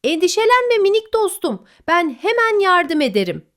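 A woman voicing a curious, hasty young fox in a children's bedtime story, eager and quick. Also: extremely high pitch, big pitch swings, fairly bright tone, pitched above the performer's own voice, exaggerated voice.

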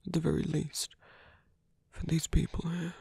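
A man playing a seductive, calm villain leader in an ASMR roleplay, breathy and intimate as he slowly coaxes a captive hero. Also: on the high side, dry recording, heavily acted. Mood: earnest, persuasive. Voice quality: breathy, husky, often whispering. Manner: slow, intimate, persuasive. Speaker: voice actor. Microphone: close, dry microphone.

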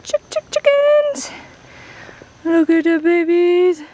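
A woman's voice: extremely high pitch, very animated, slow, dark tone. A young woman chatting casually and playfully as she films a travel vlog on a handheld camera, cooing as she talks. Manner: playful, coos at animals and children. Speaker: travel vlogger. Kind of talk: casual chat while filming a hike. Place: outdoors on mountain village roads and paths. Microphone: handheld camera microphone. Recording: noisy outdoor handheld camera audio.

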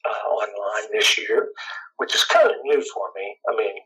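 A middle-aged man with a scratchy, raspy voice, chatting casually and rambling as an interview guest. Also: fairly low pitch, animated, normal speed, sharp tone. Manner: laid-back, rambling, chuckles often. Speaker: guitarist guest.

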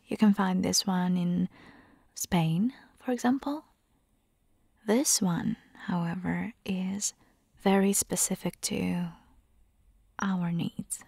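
Breathy, slow-whispering vampire hunter girlfriend played by a woman. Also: mid-range voice, no echo, clean studio sound. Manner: slow, gentle coaxing with playful teasing. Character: caring, teasing young female vampire hunter girlfriend. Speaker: ASMR roleplay performer. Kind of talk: ASMR girlfriend roleplay, intimate whisper.

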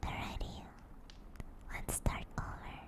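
A woman streaming ASMR in a soft, breathy whisper, gently and soothingly guiding and chatting close to a binaural microphone. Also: takes it slowly. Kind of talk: whispered ASMR guided meditation and chat. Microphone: close binaural ear microphone.

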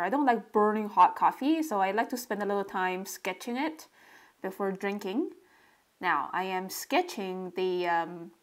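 A woman narrating a relaxed drawing tutorial step by step, describing what she does as she draws. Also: medium pitch. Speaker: sketching tutorial host.

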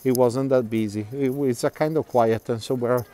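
A middle-aged man leads a live walking tour outdoors, his voice mellow and relaxed at an ordinary middle pitch. He chats loosely, reads out viewer comments, laughs often and fills gaps with "uh" as he walks, speaking into a phone camera he carries. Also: normal speed. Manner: chatty, laughs often, many fillers and restarts. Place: outdoors, quiet canal-side streets.

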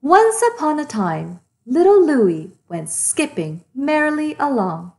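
A woman hosting a children's read-aloud, narrating a storybook expressively and deliberately for young listeners. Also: sits high, very animated, a little mellow.

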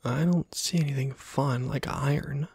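A man giving ASMR gameplay commentary in a soft half-whisper, musing casually to himself in a hushed way. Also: medium pitch, fairly even intonation, a little slow, slightly rough, no room sound. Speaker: video game streamer.

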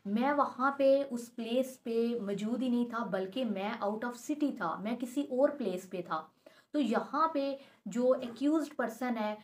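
A woman's voice: fairly high pitch, a little slow. A young woman with a Pakistani accent explaining law in an instructional way, mixing in English terms. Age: young adult. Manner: instructional, mixes English legal terms into speech. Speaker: advocate teaching law. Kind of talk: explanatory law lecture with examples. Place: small furnished room indoors.

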